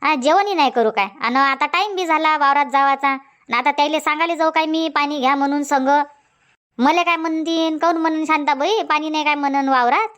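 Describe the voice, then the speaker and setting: A woman's voice: high voice, fairly quick, fairly bright tone. A woman voicing a nagging middle-aged village farm wife in a comic cartoon, scolding. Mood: indignant. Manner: scolding, insistent, long rants. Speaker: cartoon voice actor.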